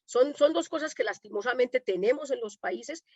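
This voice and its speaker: A Colombian woman from Medellín with a Colombian accent, a domestic worker and activist, telling her experiences in an animated conversational way, acting out the voices in her stories. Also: sits high, moderate pace, husky, dry recording, highs cut off. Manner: animated storytelling, acts out quoted dialogue. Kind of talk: conversational talk answering questions.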